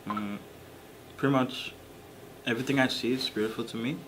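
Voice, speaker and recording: A man's voice: mid-range voice, fairly even intonation, brisk, fairly bright tone, slight background noise, poor audio. A sixteen-year-old boy from Haiti giving brief, casual interview answers in loose phrasing. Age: teens.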